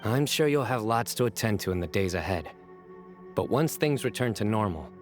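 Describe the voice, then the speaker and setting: An actor playing a composed, dutiful official in a video game, speaking in a measured, formal way. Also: male voice, fairly low pitch, animated, faint music behind, close to an everyday voice.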